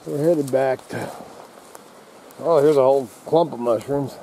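A man's voice: medium pitch, measured pace, creaky, warm tone, a little hiss or hum, low-quality recording. A man rambling casually as he films himself walking through mountain woods, often sidetracked. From Idaho. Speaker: outdoor vlogger. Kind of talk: casual running commentary while hiking. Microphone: handheld camera.

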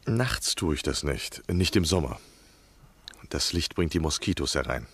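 An actor in a German radio drama playing an ingratiating gentleman scholar, polite, measured and coaxing. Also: rumbling low, lively intonation, slightly rough, heavily acted. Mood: measured, curious. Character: courteous, ingratiating gentleman scholar, persuasive and probing.

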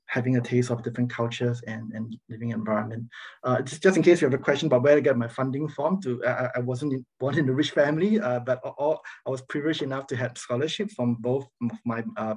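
A middle-aged Singaporean-accented man presents slides to an online audience as a guest academic. His voice has a creaky, slightly raspy grain. He speaks haltingly, often restarting his phrases. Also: medium pitch, darkish tone, poor audio. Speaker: university professor, guest speaker. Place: online video meeting, speaking over slides. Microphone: video call microphone.

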